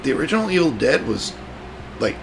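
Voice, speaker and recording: A man's voice: a little high, big pitch swings, a little fast, gravelly, a little hiss or hum. A man hosting a podcast, chatting casually about horror movies with a friend, rambling with frequent restarts. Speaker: podcast host.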